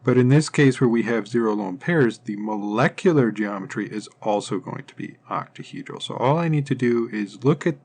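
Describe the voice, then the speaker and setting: A man's voice: mid-range voice, moderate pace, hardly any pauses, darkish tone. A man teaching chemistry in an informal, step-by-step lecture style, methodically thinking aloud as he works through things.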